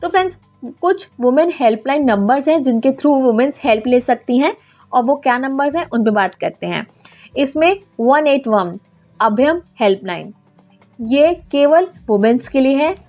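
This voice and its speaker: A woman with an Indian accent giving an explanatory legal lesson in a deliberate, instructional manner, switching between two languages. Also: high voice, lively intonation. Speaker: legal educator, advocate. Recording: dull lo-fi audio with background music. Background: background music.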